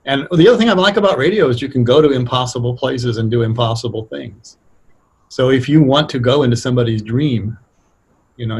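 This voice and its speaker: Husky-voiced man talking casually. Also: mid-range voice, darkish tone. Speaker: radio drama writer, interview guest. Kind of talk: casual explanatory interview talk.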